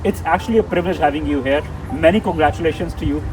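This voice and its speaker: A man's voice: fairly high pitch, very fast, over music, rough recording. A man with an Indian accent hosting an interview, speaking formally with effusive, grandiose phrasing. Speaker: interviewer and show host. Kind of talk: on-camera interview with formal scripted introduction.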